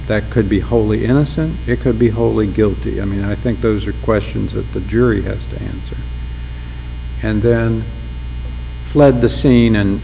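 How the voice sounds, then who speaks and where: A man serving as presiding appellate judge, opening the session and pressing counsel with measured, pointed questions in a courtroom. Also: lowish voice, gravelly, a little mellow. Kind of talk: formal courtroom proceedings and questioning. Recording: roomy courtroom with faint mains hum.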